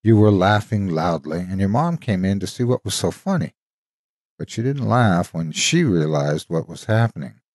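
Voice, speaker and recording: A man narrating a dramatic story as a devoted, wistful ghostly guardian, an old soldier reminiscing tenderly in a husky, folksy way. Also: low voice, a little mellow, no room sound, clean studio sound. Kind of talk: dramatic first-person horror storytime narration.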